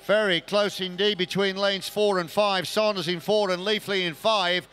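A man's voice: sits high, fairly even intonation, normal speed, sharp tone, clear, resonant tone. A man giving live swimming race commentary as the commentator.

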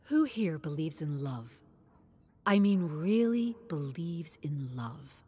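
A woman voicing an earnest female storyteller who addresses her audience in a theatrical, probing, deliberate way. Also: low-pitched, sing-song, mellow, husky, full character voice. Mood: intense, probing.

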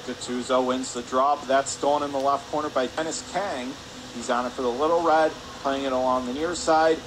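A man's voice: medium pitch, fairly even intonation, a little fast. A man calling live hockey play-by-play for radio, in clipped, urgent phrases as the action moves. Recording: clear arena noise behind voice. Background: ice rink ambience.

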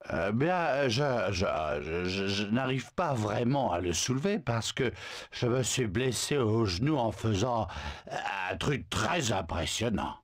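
Smitten bragging suitor, stammery, dry studio sound. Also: male voice, on the low side, lively intonation, slow, dry recording. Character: boastful lovestruck male suitor, show-off. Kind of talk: video game dubbing.

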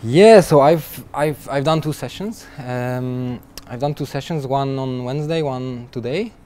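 A man's voice: mid-range voice, unhurried, dark tone, soft background music. A young man being interviewed at a conference booth, chatting freely with frequent restarts and repeated words and light laughs. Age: young adult. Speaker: guest software developer. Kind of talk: conversational interview answers.

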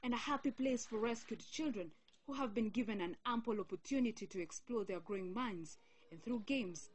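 A young woman reporter reading a scripted news feature in a measured, solemn way. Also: fairly high pitch, breathy edge.

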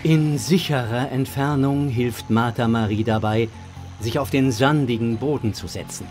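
A man serves as narrator of a children's audio drama. His scripted storytelling is mid-pitched and set over music. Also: normal speed, darkish tone.

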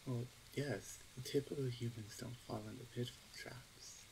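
A woman's voice: extremely low pitch, fairly even intonation, moderate pace, creaky, noisy background. A woman playing a stern, suspicious younger orc huntress in an ASMR roleplay, speaking in a curt, exasperated manner. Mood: stern, impatient. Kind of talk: ASMR roleplay audio drama.

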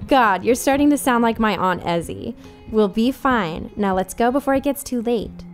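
A woman playing a shy, smitten young undead woman in an audio drama, halting and self-deprecating. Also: on the high side, faint music behind, close to an everyday voice. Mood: shy, amused.

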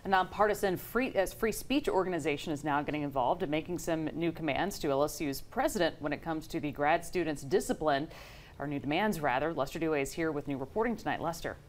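A woman's voice: low voice, fast talker, slight background noise. A middle-aged woman reading scripted news as a TV anchor in a studio.

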